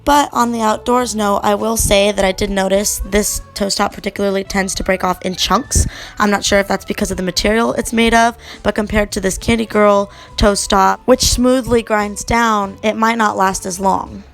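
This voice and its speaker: Young female vlogger, hoarse and gravelly, relaxed chatty tutorial read, mid-range pitch. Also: moderate pace, faint music behind. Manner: chatty, relaxed, with many fillers. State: raspy from a sore throat. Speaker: skating vlogger. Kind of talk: casual how-to voiceover. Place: home at night.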